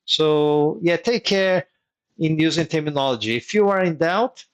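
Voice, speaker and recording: A man's voice: a little high, moderate pace, lo-fi sound. Methodical man mentoring, native Portuguese speaker. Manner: methodical, repeats key points for emphasis. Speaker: engineering migration mentor hosting a workshop. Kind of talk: live online teaching session.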